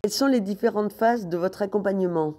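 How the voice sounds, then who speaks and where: A woman conducts an interview, putting questions to guests. Her voice is mellow and on the dark side, with a mid-range pitch. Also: a little fast.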